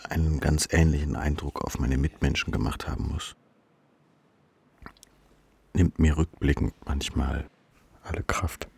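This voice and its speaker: A man's voice: extremely low pitch, little pitch movement, a little slow, gravelly, pauses often, a little mellow, heavily acted. An actor playing a man soberly looking back on his madness in reflective radio play narration. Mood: rueful.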